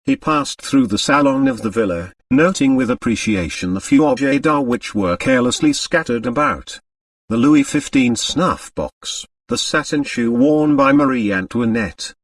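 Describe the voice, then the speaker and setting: A man's voice: medium pitch, fairly bright tone, dry recording, far from the mic. A man reading a novel aloud as scripted, dramatic audiobook narration.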